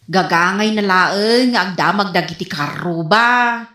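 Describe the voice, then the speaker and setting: An actress playing a scolding, questioning housewife in an Ilocano radio comedy drama, with a nagging manner and Ilocano accent. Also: female voice, mid-range voice, a little slow, sharp tone. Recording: echoey, with music behind.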